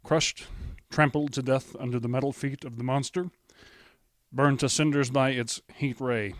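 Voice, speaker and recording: An actor playing a male studio announcer in a 1930s radio drama, grave and measured with small stumbles. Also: medium pitch, steady tone, dry recording, lightly acted.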